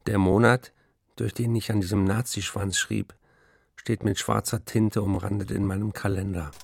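An actor in a radio play voicing an embattled, wry German novelist of the 1930s, reading out letters and diary entries. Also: male voice, on the low side, steady tone, moderate pace, vocal fry, slight background noise. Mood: bitter.

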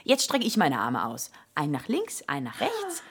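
An actress playing a brisk, no-nonsense emergency doctor in a radio drama, giving direct instructions in a husky voice. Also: female voice, mid-range voice, wildly swooping pitch, a bit bright, heavily acted. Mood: instructing.

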